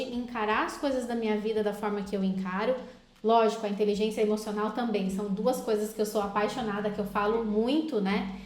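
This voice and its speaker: Woman therapist host, slightly high voice, persuasive chat, roomy echo. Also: a little mellow. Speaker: hypnotherapist host. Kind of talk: casual interview chat.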